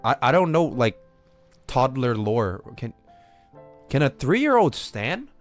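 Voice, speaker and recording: A man's voice: medium pitch, very animated, slow pace, faint music behind. A man giving casual, slangy, incredulous reaction commentary on a game livestream. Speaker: game streamer.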